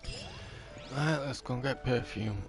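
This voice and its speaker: A man's voice: mid-range voice, measured pace, vocal fry. A man giving casual gameplay commentary, muttering short remarks to himself as he plays. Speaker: video game streamer. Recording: game music behind the voice.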